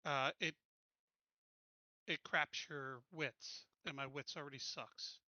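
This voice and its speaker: Male tabletop player, casual chat, very sharp forceful voice, somewhat high, slightly lo-fi. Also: fairly even intonation, takes it slowly, dry recording.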